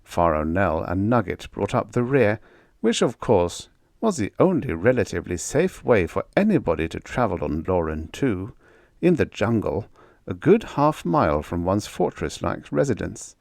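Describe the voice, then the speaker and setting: Animated low-voiced man narrating. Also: normal speed, creaky, no echo, studio quality. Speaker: audiobook narrator. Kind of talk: scripted story narration.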